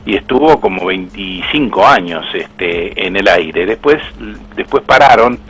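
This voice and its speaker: Hombre mayor de voz ronca, acento rioplatense. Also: male voice, low voice, lively intonation, measured pace, a bit bright, breathy edge, rough recording. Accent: Argentine Spanish. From Argentina. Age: older. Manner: storytelling, proud, with restarts. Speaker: voice actor interviewed. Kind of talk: anecdotal interview talk.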